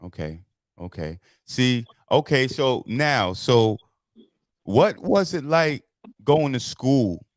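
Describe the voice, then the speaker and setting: A man's voice: medium pitch, lively intonation, takes it slowly, no echo, studio quality. A man born in the United States, hosting a live interview as a DJ in laid-back street talk, often chiming in with agreement. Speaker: host, DJ.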